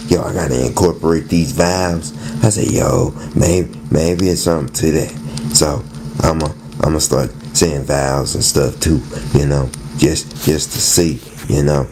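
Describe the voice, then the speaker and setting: A man's voice: rumbling low, background noise. A man giving a casual, rambling spoken monologue in slang, often repeating words and restarting phrases. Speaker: narrator and storyteller.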